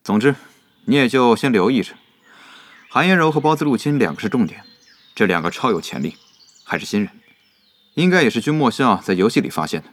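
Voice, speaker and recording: A man playing a seasoned, analytical gaming guild leader in a Chinese audio drama, speaking in a measured, composed way. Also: mid-range voice, expressive, dry recording, lightly acted. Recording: music bed under the voice.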